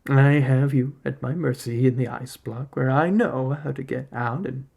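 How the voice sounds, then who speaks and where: A man voicing a massive male arctic spirit creature from a story, sly and needy, speaking in a deliberate, commanding way. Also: mid-range voice, gravelly, a little mellow, breathy edge. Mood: menacing.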